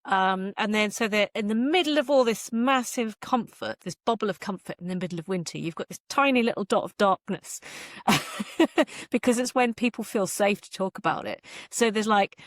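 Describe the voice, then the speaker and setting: A woman's voice: medium pitch, expressive, normal speed, no echo, clean studio sound. A woman talking casually as an author guest in an interview, chatty and jokingly self-deprecating.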